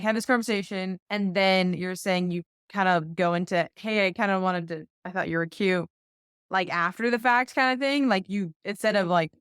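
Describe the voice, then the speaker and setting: A woman's voice: medium pitch, clean tone, no echo, clean studio sound. A woman co-hosting a podcast interview, tentatively restating points with many "like" fillers. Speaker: podcast co-host.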